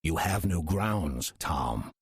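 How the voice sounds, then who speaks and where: A sly old demon in an audio drama , acted by a man, with a badly rasping, low voice. He is wry and unhurried, and his pitch swoops theatrically as he toys with his listener. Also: lively intonation, no echo, studio quality, heavily acted. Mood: wary, cajoling. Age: older. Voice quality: gravelly, husky. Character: wry, lazy old male demon posing as churchgoer.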